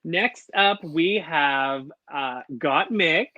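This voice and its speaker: A man's voice: a little high, extremely slow, bright, forceful tone, a little hiss or hum. A man co-hosting a casual review chat, gushing and bubbly, full of "like" fillers. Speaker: podcast co-host. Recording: dull lo-fi dry sound.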